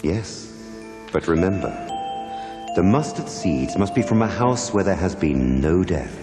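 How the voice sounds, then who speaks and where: A man acts out a calm, wise spiritual master in a cartoon. His solemn, measured preaching rings in a long hall reverb, with clear music behind it. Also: deep voice. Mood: calm, instructing. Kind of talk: cartoon voice acting.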